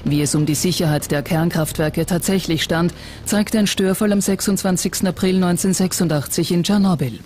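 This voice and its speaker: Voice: mid-range voice, brisk, noisy background. A documentary narrator reading a scripted account. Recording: music under the voice. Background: background music.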